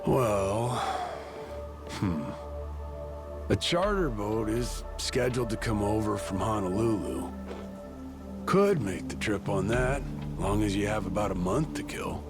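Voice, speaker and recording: An actor playing a smug, boozy, unhelpful island boatman with a gravelly, lazily teasing voice, in an English video game dub. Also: on the low side, expressive, slow pace, long pauses, over music. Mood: smug, lazy. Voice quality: gravelly, creaky.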